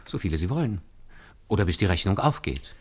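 A deferential, earnest man with a fairly low, highly expressive voice. He explains patiently and methodically, one careful step after another, in radio-play style. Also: brisk, breathy edge, heavily acted. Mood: patient, assured. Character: earnest, deferential male calculator demonstrating hand arithmetic to superiors. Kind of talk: German radio play acting.

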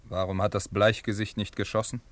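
An actor playing a proud young chief's son in a German Western radio drama, formal and declamatory in a resonant voice. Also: male voice, fairly low pitch, flat delivery, brisk, a bit bright, dry recording, lightly acted. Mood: stern, questioning. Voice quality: clear, resonant.

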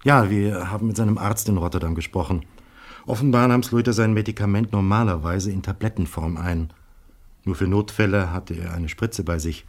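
A man acting in a 1970s German radio crime drama as a shrewd, courteous Dutch police inspector, formal and measured. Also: low voice. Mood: explaining, regretful.

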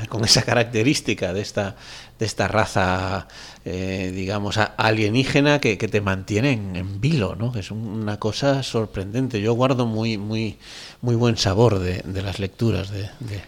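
Low-voiced Spanish man, appreciative talker. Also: moderate pace. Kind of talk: casual literary chat.

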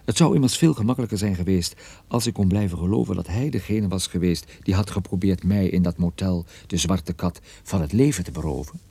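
Low, dry-voiced private detective. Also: male voice, brisk, vocal fry, warm tone, husky, no echo, full character voice. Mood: wry, reflective. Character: male private detective narrating his own case, wry and sardonic. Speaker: lead actor and narrator of a radio play. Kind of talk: radio drama, scripted first-person detective narration.

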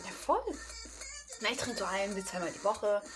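A woman's voice: mid-range voice, normal speed, natural voice, poor audio. An actress playing a candid, breezy young party girl in a naturalistic radio drama, frank and casual, with music underneath. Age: young adult.

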